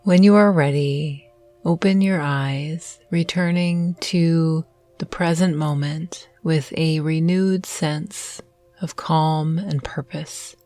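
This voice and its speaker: Female meditation guide, low register, unhurried and soothing, music underneath. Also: fairly even intonation, no echo. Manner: soothing, gentle, measured with long pauses. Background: soft meditation music.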